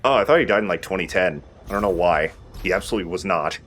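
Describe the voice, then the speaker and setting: A man's voice: low voice, fast talker, fairly bright tone, a little hiss or hum. A man giving casual let's play commentary as the player, informal, sweary and self-deprecating.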